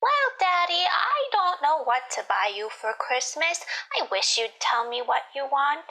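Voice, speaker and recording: A cheeky little girl in an old radio comedy, high-pitched and bright. There is a creaky edge to the precocious delivery. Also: very animated, a little slow, heavily acted. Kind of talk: 1940s radio comedy acting.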